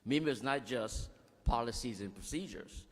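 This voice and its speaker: A man speaking at a podium, delivering a formal address that he sometimes restarts mid-sentence. His voice is mid-pitched, with a long reverberant tail, as if it is heard through a hall PA system. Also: animated, normal speed. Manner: formal speech with frequent restarts and thank-yous. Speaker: executive director of a nonprofit. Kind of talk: public speech at a bill signing.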